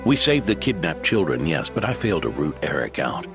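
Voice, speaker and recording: An actor playing an aging, modest veteran knight who speaks calmly and with self-deprecation in a game cutscene, with background music. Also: male voice, rumbling low, a little fast. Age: older. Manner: calm, reflective, self-deprecating. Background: background score.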